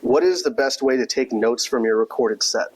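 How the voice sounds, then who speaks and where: A man hosting a casual podcast interview, relaxed and agreeable, dropping frequent yeahs and ums. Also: mid-range voice, normal speed. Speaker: podcast host.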